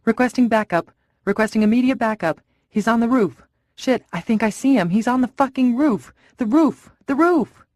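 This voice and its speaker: Frantic male officer on police radio, high and shouting, woman reads. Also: animated, moderate pace, dry recording, studio quality. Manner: urgent shouted radio reports.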